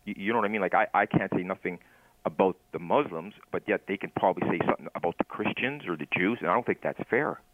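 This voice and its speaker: A man's voice: low-pitched, quick pace, dry recording. A man giving his opinions casually as a caller on a phone line. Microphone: telephone. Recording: dull, narrow phone line.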